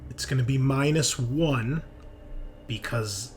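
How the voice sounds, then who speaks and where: A man narrating a tabletop horror game as game master, improvising in halting, suspenseful phrases. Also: mid-range voice, slight background noise.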